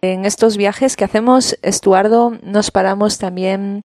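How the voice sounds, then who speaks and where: Podcast host, Spanish woman, chatty interviewer, mid-pitched, dry close sound. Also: fairly even intonation, measured pace, no room sound. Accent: Peninsular Spanish. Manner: engaged interviewer, frequent "ujú" backchannels and soft laughs. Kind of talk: language-learning podcast interview.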